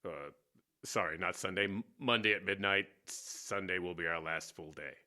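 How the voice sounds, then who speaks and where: A man acting in a radio drama as a gruff, hard-drinking, cynical war veteran who manages a station, blunt and sardonic, with an American accent. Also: deep voice, moderate pace, bright, forceful tone. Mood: grim, resigned. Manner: blunt, sardonic, stumbling over words.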